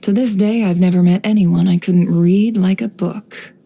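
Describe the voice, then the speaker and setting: An actress voicing a sly, composed young woman, an intelligence broker in a video game, speaking in a measured, coolly knowing, teasing way. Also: lowish voice, fairly even intonation, creaky, mellow. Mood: guarded, knowing. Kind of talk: video game character voice lines.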